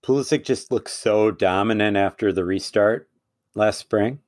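A man's voice: lowish voice, a little slow, a little mellow, dry recording, studio quality. Teasing man co-hosting a podcast. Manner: teasing, joking banter. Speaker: podcast co-host. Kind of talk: casual podcast chat.

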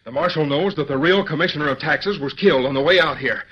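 An actor playing an anxious, folksy henchman in an old radio drama, with a breathy voice. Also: on the high side, fast talker. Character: nervous male henchman and debt collector. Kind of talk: 1940s radio acting. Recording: dull old radio recording.

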